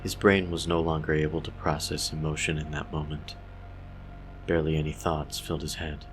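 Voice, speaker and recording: A man's voice: very deep voice, level tone, a little fast. A man narrating a dramatic horror story with a grave, solemn delivery. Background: original soundtrack music.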